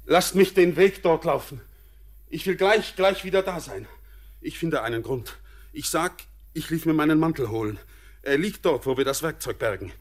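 An actor playing a feverish young miner in a German radio drama, desperate and impassioned in theatrical, verse-like declamation. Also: male voice, on the high side, expressive, moderate pace. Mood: feverish, agitated.

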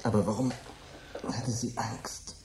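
An actor playing a fussy husband and host in a radio play, grumbling over mishaps. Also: male voice, fairly low pitch, steady tone, slow pace, heavy background noise. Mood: puzzled. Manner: grumbling, irritable.

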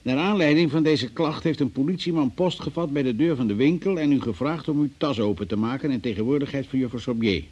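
An actor playing a formal, businesslike examining magistrate in a Dutch-language radio play. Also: male voice, medium pitch, fast talker, few pauses, a little mellow, slightly rough, lightly acted. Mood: official, matter-of-fact. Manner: formal, official, procedural phrasing. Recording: dull, muffled old radio recording.

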